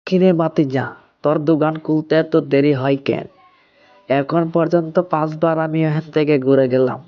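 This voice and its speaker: A man's voice: fairly high pitch, steady tone, normal speed, lightly acted. A man playing a nagging elderly village uncle in a cartoon, with a Bangladeshi accent and colloquial, scolding speech. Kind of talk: amateur cartoon dialogue. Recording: dull, muffled lo-fi audio.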